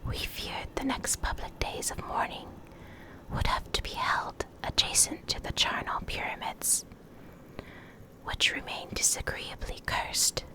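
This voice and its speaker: Female ASMR reader, fully whispered, unhurried pace, gentle careful delivery, close condenser mic. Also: rough recording. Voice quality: soft, breathy, unvoiced whisper. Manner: gentle, measured, careful reading. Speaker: ASMR creator, video host and reader. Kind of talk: whispered ASMR reading of game lore. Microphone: close USB condenser microphone.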